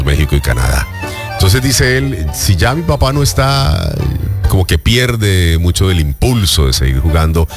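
A man co-hosting a news program, talking through a sports story in a conversational, expressive way with occasional hesitations. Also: rumbling low. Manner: expressive, animated delivery with filler pauses. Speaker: news co-host.

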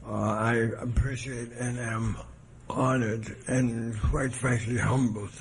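Hoarse man giving an archival lecture. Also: medium pitch, fairly even intonation. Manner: measured and humble, with hesitant restarts. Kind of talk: formal lecture. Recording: archival lecture recording with faint noise.

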